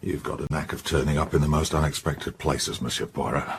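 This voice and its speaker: Deep-voiced English police inspector. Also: male voice, fairly even intonation, fairly quick, vocal fry, a little hiss or hum. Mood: brisk, affable. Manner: businesslike, colloquial questioning. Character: gruff, brisk English police inspector, sceptical and plain-spoken. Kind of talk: radio drama acting.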